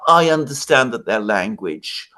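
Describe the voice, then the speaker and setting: A man from Greece giving his views in a casual discussion, animated and full of restarts, with laughs between points. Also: medium pitch, measured pace.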